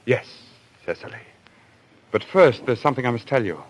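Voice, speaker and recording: A man's voice: mid-range voice, lively intonation, normal speed, close to an everyday voice. An actor playing a tormented, menacing hunter husband losing his sanity, in a theatrical, intense old-time radio thriller style. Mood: bitter, pleading. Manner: theatrical, sarcastic, brooding, intense. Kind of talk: old-time radio thriller acting and first-person narration. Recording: old radio recording with music behind.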